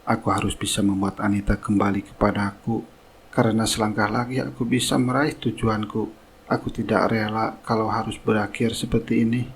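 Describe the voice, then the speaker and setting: A man playing a greedy, scheming suitor in a cartoon melodrama, with a husky voice. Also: on the low side, steady tone, fairly quick, poor audio. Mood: determined. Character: scheming, greedy suitor, smooth-talking and arrogant.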